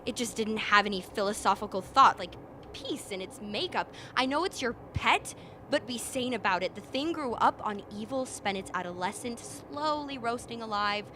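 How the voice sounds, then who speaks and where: A woman playing a combative, sardonic expedition member in a radio drama, forceful and scornful. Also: a little high, expressive, moderate pace, a little hiss or hum.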